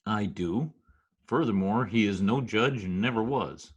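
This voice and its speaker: A man's voice: fairly low pitch, a little slow, husky, lo-fi sound. A man playing a wry, skeptical Broadway wise guy in a 1940s-style radio drama, wisecracking in stiffly formal slang. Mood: skeptical, sardonic. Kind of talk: old-time radio drama, present-tense slangy narration and dialogue. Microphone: video call recording.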